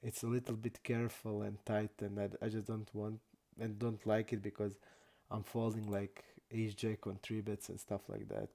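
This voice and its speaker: A young man from Croatia, not an English speaker, chatting casually and haltingly while streaming poker. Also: fairly low pitch, level tone, dark tone. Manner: halting non-native English, many restarts and fillers.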